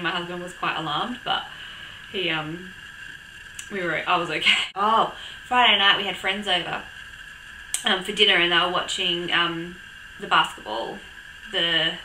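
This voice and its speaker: A young woman with a croaky voice from a cold, chatting casually to camera with lots of ums and little laughs. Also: fairly low pitch, sharp tone, reverberant, a little hiss or hum, low-quality recording. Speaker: vlogger. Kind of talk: casual chat.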